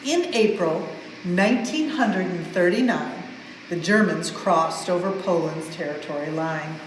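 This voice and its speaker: A woman reads a storybook aloud for children with expressive, measured emphasis on the rhymes. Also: on the low side. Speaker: museum staff member reading a story aloud. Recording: very echoey large hall, some noise.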